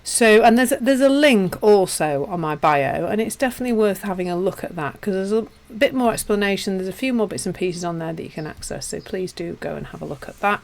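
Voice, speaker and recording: A woman with a Yorkshire accent teaching and chatting casually with viewers on a live stream, self-deprecating and warm in her asides. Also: medium pitch, fairly quick, vocal fry, hardly any pauses. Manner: chatty and self-deprecating, with frequent restarts and laughs. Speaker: meditation teacher.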